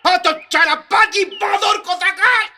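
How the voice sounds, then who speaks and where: A woman's voice: very high voice, background noise. A woman voicing a menacing, furious village pond owner, a grown man, in a children's cartoon, with a threatening, questioning manner. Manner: threatening, drawn-out questioning, insulting.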